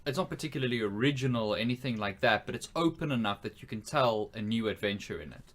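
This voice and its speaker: A man's voice: mid-range voice, animated, quick pace, fairly bright tone. A man co-hosting a podcast in casual conversation, discussing and putting questions to the others. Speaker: podcast co-host. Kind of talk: casual chat, posing questions to co-hosts.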